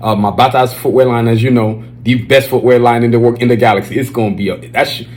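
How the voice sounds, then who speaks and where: A man with a Nigerian accent hosting his own podcast, talking loosely and excitedly with lots of slang fillers. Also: medium pitch, fast. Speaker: podcast host. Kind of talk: casual solo podcast chat. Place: Dallas, Texas area.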